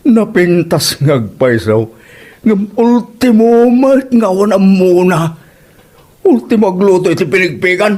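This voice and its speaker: A man's voice: high voice, lively intonation, unhurried, a little mellow. A man playing an exasperated, fault-finding old father-in-law in a comedy radio drama, grumbling theatrically with an Ilocano accent. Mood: indignant.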